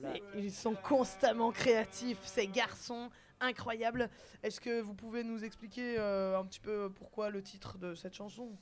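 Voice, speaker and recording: A woman's voice: on the high side, fairly even intonation, unhurried, soft background music. Playful woman hosting on radio. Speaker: radio host, interviewer. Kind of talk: playful live radio interview.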